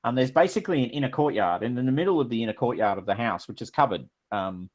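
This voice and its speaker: A man running a tabletop game, narrating in a relaxed, rambling way. He fills his pauses with plenty of ums and uhs, in an average-pitched voice. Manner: relaxed, rambling, many fillers. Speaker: game master.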